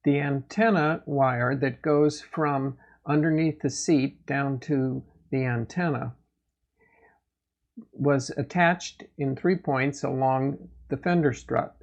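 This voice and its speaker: Male gear reviewer, unhurried with vocal fry, plain and measured narration, somewhat muffled lo-fi sound. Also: medium pitch. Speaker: host of a motorcycle gear review channel. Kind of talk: product update narration.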